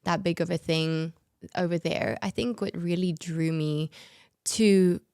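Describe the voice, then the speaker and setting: A young woman from South Africa whose first language is Afrikaans, speaking with a South African accent and chatting easily in a podcast interview, full of fillers and quick laughs. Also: lowish voice, fairly even intonation, a little mellow, clean tone, dry recording, clean studio sound. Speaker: guest, former pageant winner. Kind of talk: podcast interview, casual chat.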